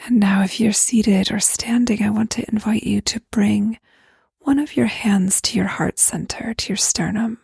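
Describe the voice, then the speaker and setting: Female meditation guide: very husky and breathy, heavy creak, gentle slow delivery. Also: medium pitch, fairly even intonation, dry recording. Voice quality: soft, breathy, near-whisper. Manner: gentle, unhurried, spacious phrasing. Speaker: guided meditation leader, host.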